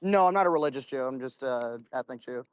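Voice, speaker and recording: A man's voice: medium pitch, expressive, fast talker, clear, resonant tone, no echo. A man chatting casually in a debate, rambling and swearing freely.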